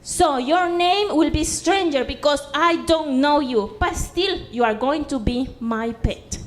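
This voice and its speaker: A woman's voice: high-pitched, unhurried. Woman voicing a lonely homesick girl. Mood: tender. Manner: tearful and grateful.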